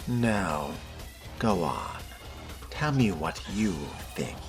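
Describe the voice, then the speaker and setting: Man playing a slow, gravelly fledgling vampire. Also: sing-song, over music, heavily acted. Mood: low-key, curious. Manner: unhurried, low-key, inquisitive. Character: laid-back, curious male fledgling vampire newcomer. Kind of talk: role-play of a video game character.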